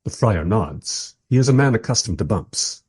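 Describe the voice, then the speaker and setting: A man's voice: on the low side, expressive, normal speed, darkish tone, breathy edge. A man playing a reflective documentary maker who narrates a radio play in a wry, literary, musing way.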